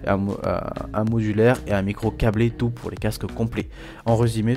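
A man presenting a scripted product review as a voice-over, reading aloud with small stumbles and self-corrections. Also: fairly low pitch. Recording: voice-over with music behind. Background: background music.

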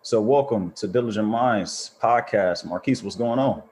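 A man's voice: lowish voice, normal speed, a little mellow, slight background noise. Earnest man hosting a motivational podcast. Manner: earnest, grateful, encouraging. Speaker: podcast host.

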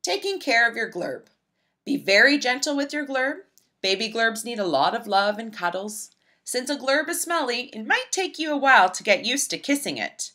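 Lady reading a picture book to kids, bright crisp tone, super animated, kinda high voice. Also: normal speed. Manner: animated, emphatic, storybook delivery. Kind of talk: expressive children's read-aloud narration.

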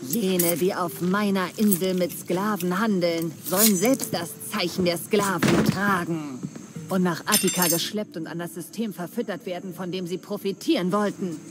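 A woman voicing a commanding, menacing pirate queen in a video game, speaking deliberately and with threat. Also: lowish voice, fairly even intonation, heavy background noise, lightly acted. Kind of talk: video game cutscene dialogue. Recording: music behind the voice.

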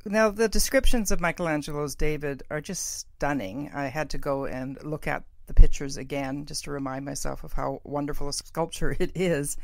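A woman's voice: low voice, moderate pace, vocal fry, few pauses, no room sound. A woman hosting a conversational podcast interview, appreciative and enthusiastic toward her guest.

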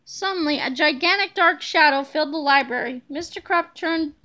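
Very high, bright woman reading aloud. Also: moderate pace. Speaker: storybook reader. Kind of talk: children's book read-aloud.

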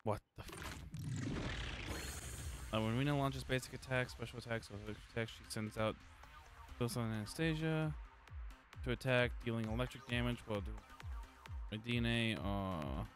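A man's voice: mid-range voice, normal speed, pauses often. A man giving casual commentary as he plays a game, sometimes reading tutorial text aloud. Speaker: gaming streamer. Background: video game music.

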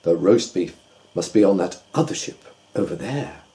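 A man voicing a sensible, bossy female duck in a storybook, brisk and matter-of-fact. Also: low voice, animated, mellow, voice pushed down.

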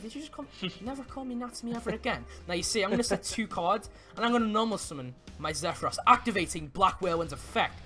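A young man chatting casually as he plays a card-game roleplay, often repeating himself in a halting way. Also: high voice, expressive, pushed and bright, soft background music. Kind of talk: casual gameplay roleplay chat.

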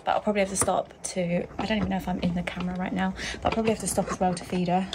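A woman's voice: fairly low pitch, little pitch movement, very fast, very noisy. A young woman with a British accent chatting casually and informally for a home vlog. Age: young adult. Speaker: vlogging mother of a newborn. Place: lived-in bedroom at home. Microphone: camera or phone, sometimes across the room.

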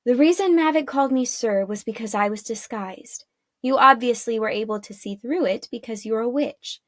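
A woman voicing an emotional long-lost mother in a storybook, gentle and earnest. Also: on the high side, moderate pace, dry recording, studio quality, close to an everyday voice. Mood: weary.

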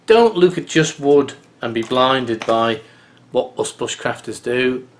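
A man's voice: mid-range voice, lively intonation, slow. A man in his fifties, hosting a casual bushcraft tip from his home office webcam, chatty and folksy, muttering as he carves. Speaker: bushcraft channel host. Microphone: webcam on desk.

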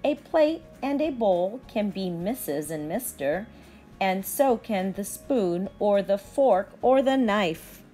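A young woman teacher reading aloud to children with deliberate, expressive enunciation. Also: medium pitch, faint music behind.

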